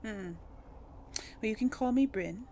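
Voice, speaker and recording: A woman's voice: on the high side, measured pace, dark tone, slight background noise. A woman giving casual, musing game commentary, thinking aloud and speaking replies in character. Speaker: gaming video host.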